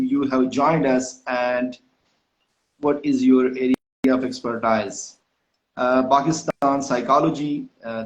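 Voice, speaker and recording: A man's voice: mid-range voice, roomy sound, dull audio. A man hosting a live stream, greeting viewers one by one and hesitating often with fillers. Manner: hesitant, many fillers and restarts. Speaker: host. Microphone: phone or video call mic.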